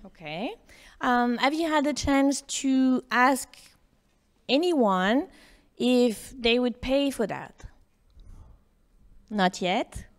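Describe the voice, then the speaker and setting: A woman's voice: fairly high pitch, lively intonation, extremely slow, long pauses. A woman leading a live workshop for students, urging them to share their ideas and asking them direct questions. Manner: coaxing, questions the audience directly. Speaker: workshop speaker. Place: lecture room with audience.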